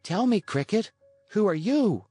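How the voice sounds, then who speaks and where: Narrator doing a high cheeky puppet boy from a storybook, bratty and defiant, really animated, music in it. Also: a little slow, slightly rough, dry recording. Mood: curious. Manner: cheeky, petulant. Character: Pinocchio, defiant impudent boy wooden marionette.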